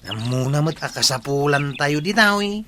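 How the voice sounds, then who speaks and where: Man acting a highish chatty villager, birds chirping. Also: lively intonation, moderate pace, natural voice. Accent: Ilocano Filipino. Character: chatty, joking male villager helping at harvest. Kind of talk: Ilocano comedy radio drama acting. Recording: noisy track with chirping birds.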